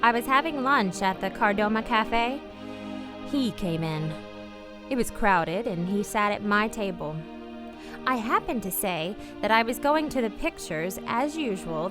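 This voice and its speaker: A woman's voice: mid-range voice, lively intonation, over music, close to an everyday voice. An actress playing an earnest, guilt-ridden married woman in a radio play, speaking in a refined, hesitant way. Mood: wistful narrating. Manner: hesitant, earnest, refined, with halting repeated words. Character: married woman, anxious, guilt-ridden, tenderly confessing a secret love. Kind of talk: radio play acting with confessional narration.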